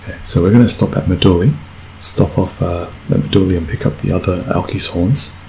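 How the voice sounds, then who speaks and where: A man with a croaky voice from a cold, talking casually through a video game and rambling with fillers. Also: low voice, steady tone, fairly quick, a little mellow, a little hiss or hum, poor audio. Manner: rambling, self-correcting, frequent fillers. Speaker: let's play gamer. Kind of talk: casual gameplay commentary.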